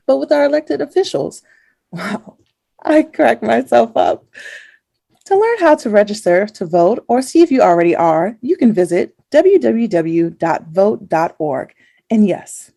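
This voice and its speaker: A woman's voice: medium pitch, vocal fry, mellow. A woman playing a playful, self-amused narrator in a comedic radio play, breezy and tongue-in-cheek.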